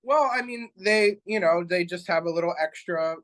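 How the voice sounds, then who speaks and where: A man co-hosting a casual chess stream, easygoing, explaining calmly and giving short replies. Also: sits high, normal speed. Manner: easygoing, brief affirming replies. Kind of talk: casual chat.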